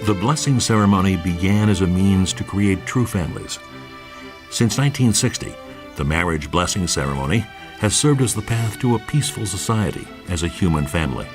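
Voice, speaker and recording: A man's voice: deep voice, expressive, a little fast, over music. A man reading scripted narration for a documentary.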